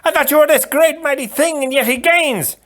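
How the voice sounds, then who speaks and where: A man voicing a shrill, arrogant hunchbacked Sicilian who shouts orders, in a storybook reading. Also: very animated, moderate pace, heavily acted. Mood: shrieking.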